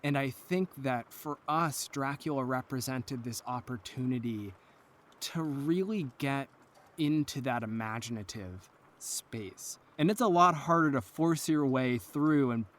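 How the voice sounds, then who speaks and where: Male audio drama creator as podcast guest; medium pitch, earnest, articulate, conversational. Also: measured pace, a bit bright, slight background noise. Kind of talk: thoughtful interview talk.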